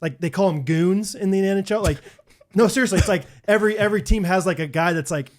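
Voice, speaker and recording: A man's voice: high voice, quick pace. A man co-hosting a podcast, telling a story in casual chat with lots of filler words. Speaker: podcast co-host.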